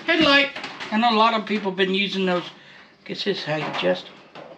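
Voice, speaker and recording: A man's voice: high voice, lively intonation, fairly quick. An American man chatting casually through a DIY job in a folksy, rambling way, muttering to himself as he works. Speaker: DIY motorcycle vlogger. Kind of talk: casual unscripted how-to vlog chat. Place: shed workshop. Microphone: action camera. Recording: noisy action-camera audio with clicks.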